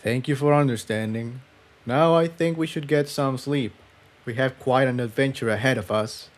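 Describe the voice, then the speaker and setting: A man voicing an earnest, troubled male pony adventurer in a quiet, serious way for a storybook reading. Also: mid-range voice, normal speed, natural voice. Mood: subdued, tender.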